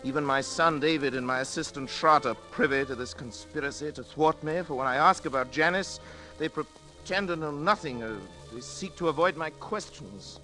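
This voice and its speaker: An actor playing an obsessed, paranoid middle-aged scientist in a theatrical, urgent 1940s radio drama style. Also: male voice, fairly high pitch, normal speed, sharp tone, low-quality recording. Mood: grandiose, obsessed. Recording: vintage radio recording with music behind.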